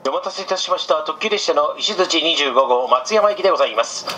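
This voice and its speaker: Guy conductor on a train pa, bright voice, polite formal announcement style, train noise in the back. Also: on the high side, expressive, fast talker. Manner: polite, formulaic, reciting station lists. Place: over a train cabin's speakers. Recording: train PA with clear background noise.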